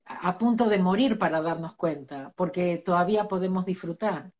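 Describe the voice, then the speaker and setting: A woman's voice: fairly low pitch, moderate pace, darkish tone, lo-fi sound. A middle-aged woman, a guest speaking earnestly in an interview and laughing between her points. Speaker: interview guest, spiritual teacher. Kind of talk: reflective conversational interview.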